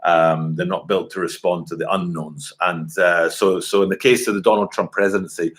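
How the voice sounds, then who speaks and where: Rambling man in his forties, low voice. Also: steady tone, moderate pace. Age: 40s. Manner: rambling, many fillers and restarts. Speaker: livestream host. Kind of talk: casual live-stream chat. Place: home, at a computer. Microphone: computer webcam mic.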